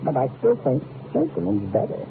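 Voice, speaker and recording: A man's voice: medium pitch, normal speed, soft background music. An actor playing a feisty old cowboy grandfather with a folksy Western drawl, in 1950s radio drama style. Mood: eager, scheming. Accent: American Western drawl. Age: older. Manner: folksy, rustic, excitable. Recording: tinny, hissy old radio recording.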